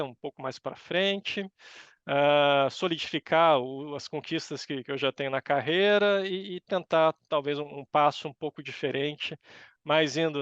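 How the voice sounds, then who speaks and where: A middle-aged man with a Brazilian Portuguese accent giving thoughtful, halting answers as an interview guest. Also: fairly high pitch, a bit bright, clear, resonant tone, no room sound, muffled recording. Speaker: interview guest, university professor. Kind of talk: conversational interview answers, reflective.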